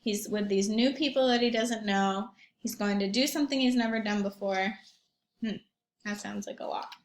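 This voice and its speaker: A woman teacher reading aloud and talking to young children in an expressive storytelling manner, with questions and asides. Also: medium pitch, normal speed, reverberant. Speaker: primary school teacher reading aloud to pupils.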